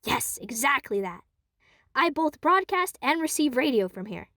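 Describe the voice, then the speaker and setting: A woman voicing a quirky male radio hobbyist living off the grid, talking casually and dropping to a hush for secrets. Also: high-pitched, very animated, moderate pace, dry recording, clean studio sound, full character voice. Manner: casual, hushed when sharing secrets.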